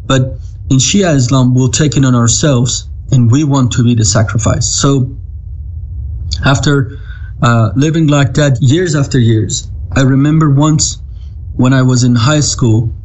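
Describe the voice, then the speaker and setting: A man in his thirties from Iran with an Iranian accent, a native Farsi speaker, telling his life story earnestly over a video call, halting with frequent restarts. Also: medium pitch, a little mellow, poor audio. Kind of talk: personal testimony.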